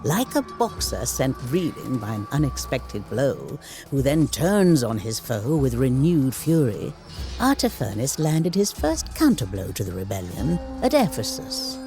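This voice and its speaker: A man's voice: mid-range voice, sing-song, unhurried. A man playing a solemn ancient chronicler, narrating history for a video game in a grave, theatrical storytelling manner. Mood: solemn, dramatic. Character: male ancient chronicler recounting war with solemn relish. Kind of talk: dramatic scripted historical narration. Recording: studio voice-over under prominent music.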